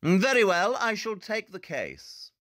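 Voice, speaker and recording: An actor playing a brisk, aloof detective with a British accent and a formal, pedantic manner in an audio drama. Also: male voice, sits high, very animated, fairly bright tone, dry recording, studio quality, full character voice. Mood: businesslike.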